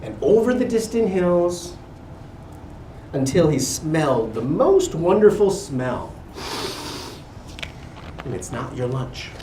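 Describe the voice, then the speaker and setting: A man giving an expressive picture-book reading to children, pausing for little asides. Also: fairly high pitch. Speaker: guest reader at a school. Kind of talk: animated picture-book read-aloud to children. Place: indoor school room with children. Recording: roomy, distant mic with background noise.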